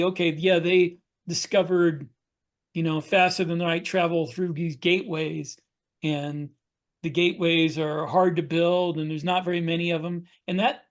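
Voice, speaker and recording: A man talking casually as a podcast co-host on a video call, often halting and rephrasing as he explains. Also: sits high. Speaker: podcast co-host, science fiction author. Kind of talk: casual panel discussion. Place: indoors at home, video call.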